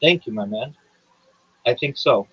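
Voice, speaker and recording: A man with a husky, mid-pitched voice hosts a livestream. He talks in a measured, formal way that gives way to hesitant, casual chat. The audio sounds dull and lo-fi. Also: lively intonation. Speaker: livestream host. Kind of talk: reading a prepared statement, then casual chat.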